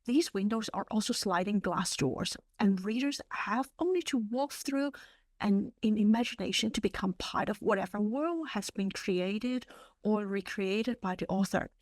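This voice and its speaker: Cantonese-native woman presenter, mid-pitched, dry close sound, measured delivery with ums. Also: dry recording. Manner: measured, with frequent um fillers. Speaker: webinar presenter. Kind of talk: presenting a library webinar. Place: online webinar.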